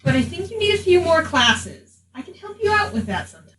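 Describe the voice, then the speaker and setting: A woman's voice: very high-pitched, sing-song, a little fast, fairly bright tone, heavily acted. A woman playing a cheeky, chatty adventurer in an improvised tabletop game, with a wry, teasing way of talking. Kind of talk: improvised tabletop roleplay in character. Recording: roomy, distant mic, faint noise.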